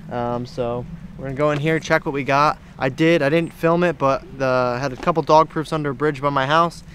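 A man's voice: medium pitch, fairly bright tone. A young man hosting an outdoor trapping vlog, talking casually to the camera with laid-back slang and folksy exclamations. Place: outdoors by creeks and marshy fields. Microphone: camera-mounted shotgun mic. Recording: outdoor location sound with faint background noise.

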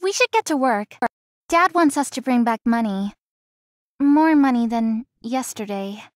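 A woman playing a gentle, dutiful young daughter in a visual novel. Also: fairly high pitch, lively intonation, unhurried, no echo, studio quality. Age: young adult.

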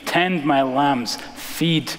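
An American man in his thirties preaching a sermon as a pastor, dramatic and emphatic, with deliberate pauses and repeated phrases, in a large church. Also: a little high. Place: large church sanctuary, indoors, preaching from the stage. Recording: reverberant church hall.

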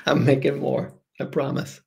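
Creaky-voiced, animated man chatting. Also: medium pitch, normal speed. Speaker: author and screenwriter, guest. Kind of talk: casual chat, answering questions. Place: home room on a video call.

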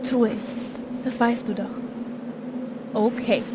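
A woman's voice: a little high, fairly even intonation, slow pace. A woman playing a wistful but determined young woman in an audio drama. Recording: noisy vehicle-like ambience behind.

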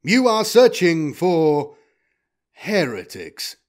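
War robot played by a male narrator, blunt, calculating, clipped formal delivery, raised forceful voice for science-fiction audiobook. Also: a little high, wildly swooping pitch, drawn-out words, voice pushed up, full character voice. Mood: cold, commanding. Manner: clipped machine-like formal statements.

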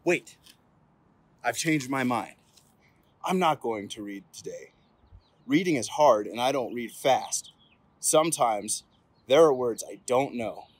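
A man's voice: medium pitch, expressive, slow pace, pauses often, no echo. A young man reading a children's picture book aloud outdoors in a playful, theatrical way with emphatic build-ups. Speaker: police officer reading at storytime. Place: outdoors on an open terrace.

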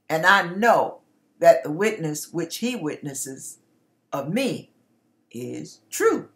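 Middle-aged woman reading scripture emphatically. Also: mid-range voice. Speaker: online church minister reading scripture. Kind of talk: reading Bible scripture aloud. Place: small indoor room with curtain.

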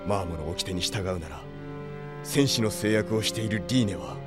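An actor playing a grave, lordly exiled prince who leads a rebel army, speaking in solemn, measured lines in an anime audio drama. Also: male voice, fairly low pitch, music in the background, close to an everyday voice. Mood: grave, resolute.

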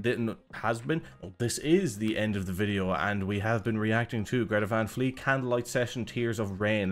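A man hosting a music reaction video, talking casually and critically, rambling and breaking off mid-sentence. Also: fairly low pitch. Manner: rambling, self-interrupting, critical.

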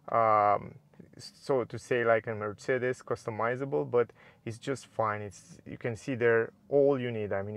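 A man reviewing a car's dashboard and screens from the driver's seat, in relaxed, slightly non-native English. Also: lowish voice. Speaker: car reviewer. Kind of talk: casual walkthrough narration. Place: inside a parked car.

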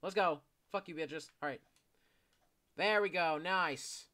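A young man giving casual, excitable commentary while playing a video game, swearing often and yelling when chased. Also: fairly high pitch, slow. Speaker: gameplay commentator. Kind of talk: casual live gameplay commentary.

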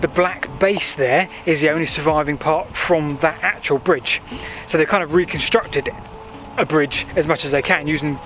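A man's voice: a little high, normal speed, a bit bright. Chatty man explaining local history. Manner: chatty, explanatory, with thinking-aloud fillers. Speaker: history vlogger. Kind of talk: walking history vlog. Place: outdoors by a canal path and beach. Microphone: handheld selfie camera at arm's length. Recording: faint outdoor noise.

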